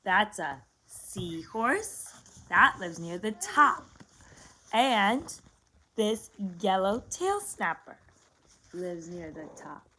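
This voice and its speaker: A woman's voice: mid-range voice, sing-song, drawn-out words, bright, forceful tone, breathy edge. A woman reading a picture book aloud as a lesson for young children, explaining simply, asking questions and repeating words.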